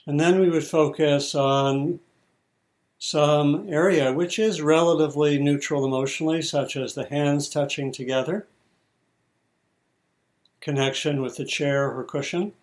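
A man teaching meditation, giving guided instructions in short, measured phrases with pauses between them. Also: fairly high pitch, steady tone.

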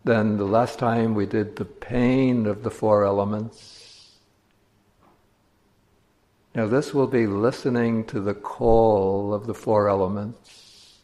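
A man teaching meditation, giving guided instructions in measured phrases with pauses between them. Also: lowish voice, fairly even intonation, dark tone.

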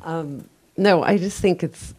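A woman's voice: lowish voice, takes it slowly, darkish tone, husky. A woman in a meditation group rambling through her experience in a chatty, self-conscious way. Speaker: retreat participant. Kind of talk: sharing in group Q&A.

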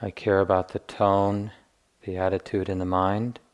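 A man guiding a meditation as a teacher, speaking gently and deliberately with long pauses. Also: deep voice, little pitch movement, clear, resonant tone, lo-fi sound. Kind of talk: guided meditation instruction.

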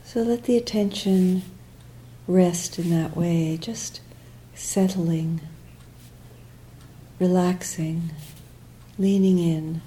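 A woman with a very dark, soft-edged voice leads a guided meditation. She speaks slowly, in short phrases separated by long pauses. Her pitch sits a little low, and her phrases often trail off gently. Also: steady tone, rough recording. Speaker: meditation teacher.

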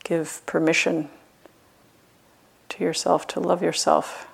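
Female meditation teacher, lower register with noticeable vocal fry, gentle and unhurried, leaving long pauses. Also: little pitch movement. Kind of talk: guided loving-kindness meditation.

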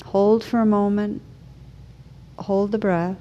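A woman leading a guided meditation as a teacher, speaking slowly and soothingly with gentle pauses. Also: medium pitch, little pitch movement, dull audio.

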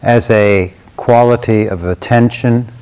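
A man leading a guided meditation, speaking in slow, sparse phrases with long silences between them. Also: medium pitch, fairly even intonation, darkish tone, smooth. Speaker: meditation teacher.

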